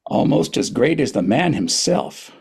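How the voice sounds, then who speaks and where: A man's voice: medium pitch, big pitch swings, unhurried, vocal fry, a little mellow, husky, exaggerated voice. A man playing a wry, reflective older male writer and narrator in a table reading of a historical play, with a hesitant storytelling manner. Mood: wry, flustered.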